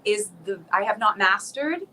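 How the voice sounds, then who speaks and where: A woman's voice: mid-range voice, animated, normal speed, pushed and bright, low-quality recording. American woman, singer guest, casual chat, video call, slight background hiss. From South Florida. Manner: chatty, laughs often, many fillers and restarts.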